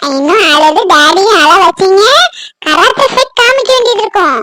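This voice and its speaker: A woman playing a bold, excitable little granddaughter in a family drama, chirpy and emphatic. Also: extremely high pitch, moderate pace, very noisy. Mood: plucky, reassuring.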